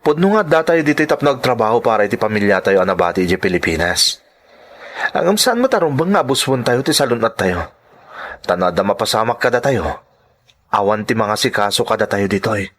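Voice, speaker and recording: A man's voice: fairly low pitch, sing-song, fairly quick, a bit bright. A man with a Filipino accent acting a concerned friend and fellow migrant worker in an audio drama, earnest and solicitous. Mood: caring, advising. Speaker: drama actor.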